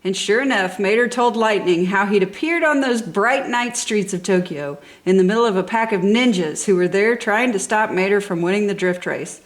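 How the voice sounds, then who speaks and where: A woman reading a children's story aloud with enthusiasm and dramatic emphasis. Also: mid-range voice. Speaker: storybook reader. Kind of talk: expressive children's story narration.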